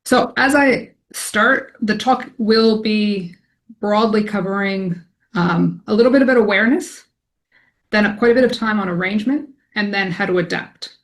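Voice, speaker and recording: A woman's voice: medium pitch, normal speed. Canadian woman, forties, statistician presenter; chatty, self-deprecating, lots of ums. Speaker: academic statistician presenting a talk. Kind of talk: informal presentation to colleagues.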